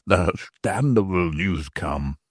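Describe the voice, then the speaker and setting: Reader doing a deep gruff highwayman guy, curt, swearing, kinda desperate. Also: measured pace, no echo, clean studio sound, exaggerated voice. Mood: grim. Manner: low, clipped, cursing under pressure.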